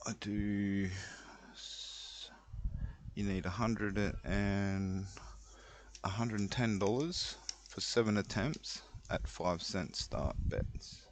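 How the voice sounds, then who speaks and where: A man giving casual commentary as he explains his own gameplay, thinking aloud in an unhurried way with pauses. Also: fairly low pitch, fairly even intonation, a little hiss or hum. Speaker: online roulette player explaining a betting strategy. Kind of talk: casual screen-recorded commentary.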